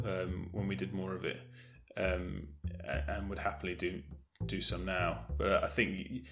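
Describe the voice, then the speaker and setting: A man's voice: low voice, level tone, normal speed, gravelly, music in the background. A man answering as an interview guest in casual, thoughtful, somewhat hesitant and modest talk. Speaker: interview guest, audio drama producer.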